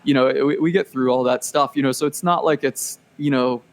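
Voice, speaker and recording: A man in his late twenties, a podcast guest chatting casually and telling stories with animated energy and easy laughter. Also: mid-range voice, brisk. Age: 20s. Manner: animated storyteller, laughs easily. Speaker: podcast guest, business owner. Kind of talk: casual interview chat.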